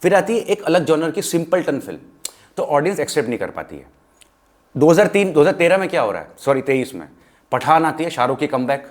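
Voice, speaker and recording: An Indian man in a casual film discussion, speaking emphatically and giving firm opinions, mixing English phrases into his Hindi. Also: fairly high pitch.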